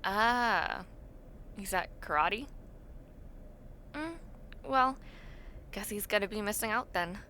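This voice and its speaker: A woman voice actor plays a teasing, friendly young woman from the dorm next door. Her voice is bright and swings widely in pitch as she chats casually to the listener in an audio roleplay. Delivery is unhurried, with drawn-out words and little murmured reactions. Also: animated, slight background noise. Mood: chatty, playful. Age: young adult.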